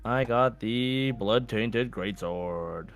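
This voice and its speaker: A man's voice: lowish voice, drawn-out words, faint music behind. A man co-hosting a podcast, talking to listeners in a casual, informal and conversational way. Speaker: podcast co-host.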